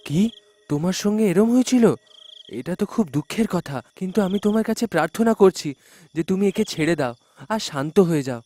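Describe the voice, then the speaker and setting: A man acts out a kindly male rickshaw driver in an animated folktale. His voice is high for a man, with urgent, earnest pleading. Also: brisk, soft background music. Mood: sympathetic pleading.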